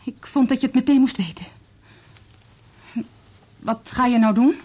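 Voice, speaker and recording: An actress playing an earnest, determined daughter with a soft, husky voice in a Dutch radio play. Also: female voice, sits high, moderate pace. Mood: urgent, revealing. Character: earnest, determined daughter bringing painful evidence.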